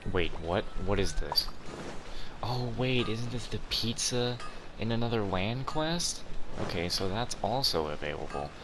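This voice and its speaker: A man with a low voice chats casually through a game playthrough. There is noticeable noise behind him. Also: expressive, measured pace. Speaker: gamer.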